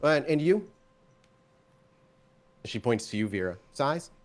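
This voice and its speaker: A man improvising narration as the game master of a tabletop game in a conversational, animated way. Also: medium pitch, slow.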